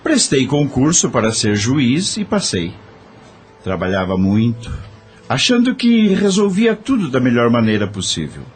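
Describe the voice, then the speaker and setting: A man's voice: mid-range voice, sing-song, measured pace, a little hiss or hum. An actor playing a once-proud corrupt judge in an audio drama, confessing his misdeeds with remorse in a dramatic, sighing manner. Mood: weary, confessional.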